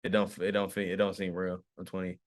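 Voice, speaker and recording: A man in his twenties co-hosting a casual podcast, laughing loudly and swearing. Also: low voice, completely flat delivery, fast talker. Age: 20s. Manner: loud laughing, swears, mumbles off. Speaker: podcast co-host.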